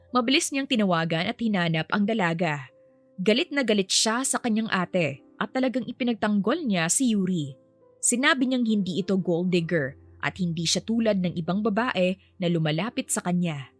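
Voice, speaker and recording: A woman's voice: mid-range voice, expressive, moderate pace. Filipino woman narrating a romance story, music behind.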